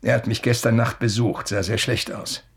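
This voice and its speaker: Casting: elderly male professor, gravelly, courtly, deliberate and evasive, radio drama. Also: mid-range voice, steady tone. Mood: weary, gentle. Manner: courtly, deliberate, slightly hesitant. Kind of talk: German radio play acting.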